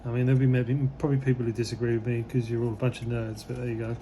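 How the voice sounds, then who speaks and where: Slightly hoarse man unboxing a kit. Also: mid-range voice, racing, slight background noise. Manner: relaxed and rambling, many fillers. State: slightly hoarse, losing his voice. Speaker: model kit reviewer. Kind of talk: casual unboxing commentary.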